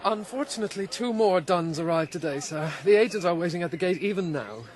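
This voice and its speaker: A man's voice: high voice, lively intonation, brisk, background noise. A man playing a careful, deferential servant addressing his master in a period radio drama. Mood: apologetic, dutiful.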